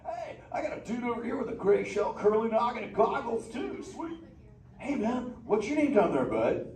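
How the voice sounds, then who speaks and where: Excitable high-pitched surfer dude sea turtle. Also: male voice, normal speed. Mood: excited. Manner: drawling surfer slang, goofy and excitable. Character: laid-back surfer dude sea turtle, exuberant adult male. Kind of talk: live improvised theme park show banter. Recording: echoey theme park theater, distant, noisy.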